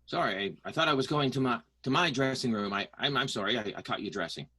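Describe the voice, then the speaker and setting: A man's voice: mid-range voice, fast talker, sharp tone, husky. A man playing a flustered, bashful vaudeville performer who stammers his apologies in an improvised radio play.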